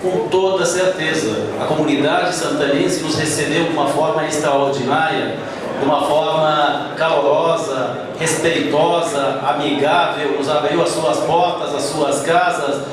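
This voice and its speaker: A man in his forties, born in Palestine, addressing a congress audience in a measured, deliberate way. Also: on the high side, steady tone. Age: 40s. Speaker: Islamic community speaker at a congress. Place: large hall with audience. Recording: echoey hall, far from mic, crowd behind.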